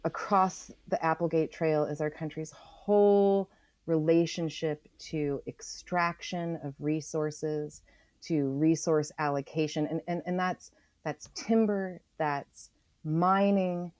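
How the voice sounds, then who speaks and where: A middle-aged American woman explaining in conversation as an interview guest, thoughtful and halting, leaning on key phrases. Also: low voice, steady tone, darkish tone, no echo. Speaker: author guest on a podcast.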